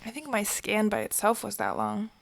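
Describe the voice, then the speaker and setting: A woman playing an anxious, caring young fugitive in an audio drama, soft, worried and hesitant. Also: medium pitch, steady tone, normal speed, vocal fry, a little hiss or hum. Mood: concerned.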